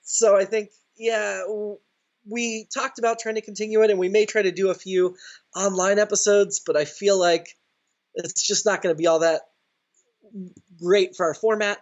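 A man's voice: sits high, fairly even intonation, normal speed. A man being interviewed as a guest, explaining things casually over a slightly lo-fi line. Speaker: interview guest, writer and performer.

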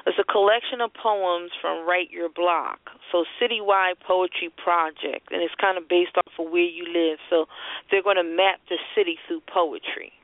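A woman's voice: on the low side, fairly even intonation, moderate pace, no room sound. Muffled woman hosting a talk show. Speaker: radio show host and marketing strategist. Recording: muffled, band-limited phone-line internet radio.

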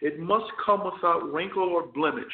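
A man preaching a radio sermon as chaplain and host, hoarse and losing his voice, often stopping to restart or repeat words. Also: fairly high pitch, normal speed, slight background noise. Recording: tinny phone line, echoey.

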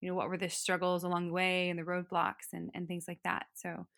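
A woman's voice: lowish voice, monotone, brisk, vocal fry, a bit bright, no room sound, clean studio sound. A woman hosting an interview podcast, asking long, rambling questions filled with "um". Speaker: podcast host.

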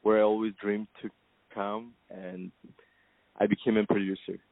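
A man's voice: lowish voice, flat delivery, normal speed, dry recording. A man from Kazakhstan, a film producer, answering interview questions over the phone in a hesitant way, with many fillers. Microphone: telephone. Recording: tinny phone line.

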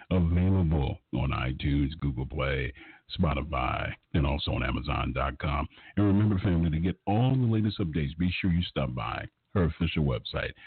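A man hosting a radio music show, effusive and flattering, drawing out his phrases. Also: a bass voice, breathy edge. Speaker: radio host. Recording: muffled lo-fi audio, roomy echo.

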